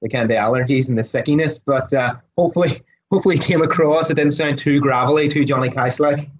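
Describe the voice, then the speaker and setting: A man whose voice is hoarse and raspy, as if it is starting to give out. He talks casually as an interview guest, circling his points with ums and restarts. His pitch sits in the middle for a man. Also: fast talker, low-quality recording. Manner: thoughtful, many fillers and restarts. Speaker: guest scholar on Aquinas.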